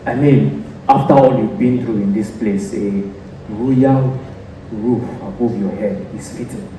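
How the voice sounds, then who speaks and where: Man acting a slow-spoken earnest king. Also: lowish voice, dark tone, natural voice. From Nigeria. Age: young adult. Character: earnest, commanding king in love. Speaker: student stage actor. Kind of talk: theatrical stage acting. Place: theatre stage. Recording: echoey, noisy stage from far off.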